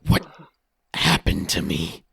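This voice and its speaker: A man acts out a confused, fearful android in a sci-fi improv comedy. The speech stumbles and slips into breathy whispers, unhurried and very dry in sound. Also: low voice, very animated, fairly bright tone, no echo, pitched below the performer's own voice, exaggerated voice. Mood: frightened, confused. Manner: halting, stammering, breathy whispered protests. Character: confused, frightened android accused of murder, named Igor.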